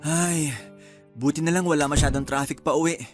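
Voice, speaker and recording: A man's voice: on the high side, fairly even intonation, fairly quick, close to an everyday voice. A man with a Filipino accent acting an affectionate, tired young husband in a Tagalog radio drama. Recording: music behind the voice.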